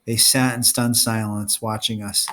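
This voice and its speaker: A man reading a story aloud as an expressive narrator. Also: on the low side, measured pace, slight background noise. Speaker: storybook reader.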